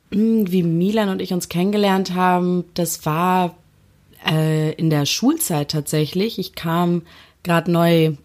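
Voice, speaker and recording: Young woman, low-ish voice, casual candid storyteller. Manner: candid, amused, with fillers. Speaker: interviewee.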